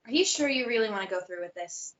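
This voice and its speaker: A woman's voice: mid-range voice, animated, fast, a bit bright, lightly acted. A woman playing an exasperated but caring young friend, indignant and earnest, in a stage play table read. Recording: dull, muffled recording.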